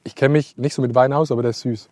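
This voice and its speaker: A man's voice: medium pitch, steady tone, darkish tone, dry recording. A man hosting a podcast, asking curious questions in casual chat on an outdoor walk. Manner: curious, asks questions quickly. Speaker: podcast host. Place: outdoors in vineyards.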